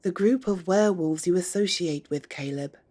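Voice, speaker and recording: An actress playing a stern, formal female council elder who speaks in a measured, commanding way. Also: lowish voice, warm tone, close to an everyday voice. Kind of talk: audio drama acting.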